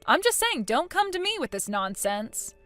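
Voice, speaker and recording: A woman's voice: sits high, sing-song, moderate pace, a bit bright, no echo, soft background music, pitched above the performer's own voice. A woman voicing a blunt, judgmental, scornful woman in story dialogue, smug and needling in a matter-of-fact way. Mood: dismissive.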